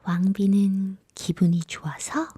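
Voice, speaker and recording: Slow, hushed woman telling bedtime tales. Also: medium pitch, little pitch movement, slightly rough, no echo, clean studio sound. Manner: whispery, gentle, soothing. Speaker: storyteller. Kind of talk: soft bedtime fairy-tale narration.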